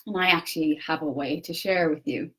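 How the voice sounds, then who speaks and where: Irish woman, young adult, low-pitched, earnest livestream talk, halting restarts. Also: fairly bright tone, husky, low-quality recording. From Ireland. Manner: earnest, many restarts and pauses. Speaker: personal development coach. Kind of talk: solo Facebook Live talk.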